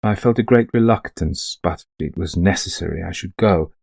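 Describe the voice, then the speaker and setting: An actor playing a middle-aged, greying widower in a ghost story, confiding an eerie haunting to a friend in genteel, old-fashioned phrasing. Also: male voice, low-pitched, normal speed, vocal fry, a little mellow, no echo, studio quality. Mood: haunted, grave. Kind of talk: dramatised first-person ghost story narration.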